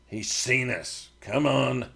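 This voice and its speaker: Stubborn frontiersman, slow gravelly grumble, read by a male narrator. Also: medium pitch, fairly bright tone, exaggerated voice. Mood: grumbling.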